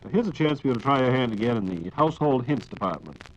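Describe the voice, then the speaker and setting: Male American radio host, 1940s announcer style, formal measured delivery, mid pitch, dull vintage sound. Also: lively intonation, slight background noise. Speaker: radio program host reading listener questions.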